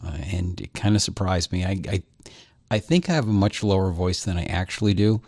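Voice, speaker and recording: A man's voice: low voice, a little fast, darkish tone, no echo. A man co-hosting a podcast in casual chat, rambling and self-deprecating with frequent restarts, close on a dynamic mic at home. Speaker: podcast co-host. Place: home studio, recorded locally over Skype. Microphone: large-diaphragm dynamic mic, close.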